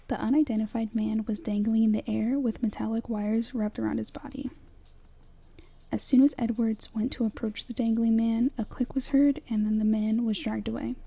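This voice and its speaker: A young woman narrates in a hushed, breathy whisper with a very mellow, muted tone. The voice is husky and lightly crackles as she delivers a scripted, measured horror breakdown, much like ASMR storytelling. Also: a little high.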